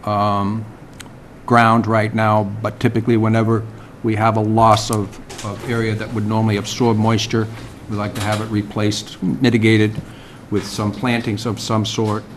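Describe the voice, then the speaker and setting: Low-voiced man chairing a town meeting. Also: little pitch movement. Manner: measured, procedural, polite. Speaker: commission chair. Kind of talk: public meeting discussion. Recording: noisy municipal TV broadcast.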